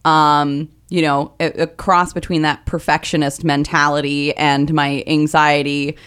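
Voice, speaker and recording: A woman in her thirties with a lower voice, chatting as an interview guest in a loose, self-deprecating way with many fillers. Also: little pitch movement, measured pace, fairly bright tone, smooth. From Vermont Northeast Kingdom. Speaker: guest comedian. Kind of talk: casual interview conversation.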